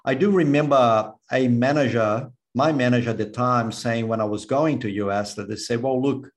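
A man's voice: mid-range voice, moderate pace. Male interview host. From Brazil. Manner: repeats words as he rephrases questions. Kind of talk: conversational interview.

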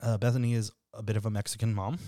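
A man in his thirties with a deep voice, hosting a casual podcast chat in a relaxed, freely swearing way. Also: racing, no room sound, studio quality. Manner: laid-back, swears freely, laughs often. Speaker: podcast host.

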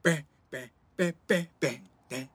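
Slightly husky, cheeky teenage lad. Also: male voice, fairly high pitch, unhurried, fairly bright tone, no room sound. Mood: cheeky banter. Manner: bantering, slangy. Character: cheeky teenage boy in care, teasing, guarded. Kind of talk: naturalistic audio drama dialogue.

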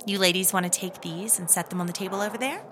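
A woman's voice: medium pitch, fast, close to an everyday voice. Actress as helpful, folksy female inmate, noisy ambience. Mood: cheerful, helpful. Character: friendly, helpful, chirpy female prison worker Cuddles. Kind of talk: comedy audio drama acting.

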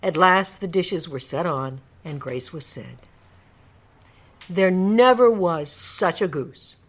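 A woman's voice: fairly low pitch, animated, slow pace. A woman narrator reading a classic story aloud in a dramatic scripted storybook style. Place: radio studio.

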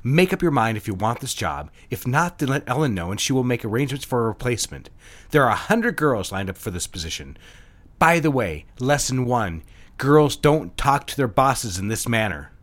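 A man's voice: medium pitch, sing-song, moderate pace, fairly bright tone. A man playing a cold, domineering advertising boss in an audio drama, curt and condescending. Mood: cold, dismissive.

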